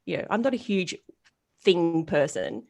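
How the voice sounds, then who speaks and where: A woman's voice: fairly low pitch, unhurried, creaky. A woman in her forties giving an interview as a retail strategist, telling stories bluntly and casually and acting out the people she quotes. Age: 40s. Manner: lively, animated storytelling with quoted dialogue. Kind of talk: storytelling interview answer.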